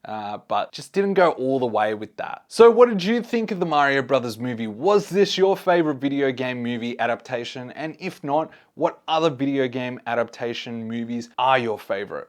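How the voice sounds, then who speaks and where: A man hosting a casual movie review, speaking conversationally and giving his opinions to his viewers. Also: medium pitch. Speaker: host, film reviewer.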